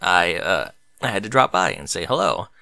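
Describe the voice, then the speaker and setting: A man voicing a nervous, earnest male unicorn pony in a story reading, with a halting way of talking. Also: deep voice, big pitch swings, vocal fry, sharp tone, no room sound, pitched below the performer's own voice, exaggerated voice.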